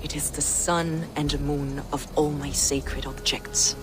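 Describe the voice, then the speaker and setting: Devout heretic woman scholar, low and deliberate, reverent game-drama delivery, music underneath. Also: level tone, rough recording. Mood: reverent, intrigued. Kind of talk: dramatic video game acting. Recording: music behind the voice.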